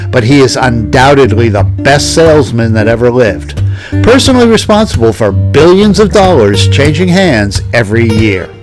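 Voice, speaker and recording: A man hosting with scripted, documentary-style narration. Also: medium pitch. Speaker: host, narrator. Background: plucked guitar music.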